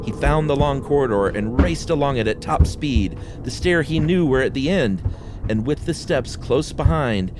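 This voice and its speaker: A man co-hosting a podcast, telling horror stories in an expressive, theatrical way and chatting casually. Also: mid-range voice, rough recording. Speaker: podcast co-host. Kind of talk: dramatic reading of a horror story and casual chat. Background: music.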